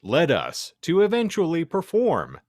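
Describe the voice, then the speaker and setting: A man's voice: a little high, huge pitch swings, unhurried, a little mellow, no echo, clean studio sound. A man hosting a podcast, delivering a theatrical introduction with wordy, mock-grand phrasing and casual banter. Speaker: podcast host.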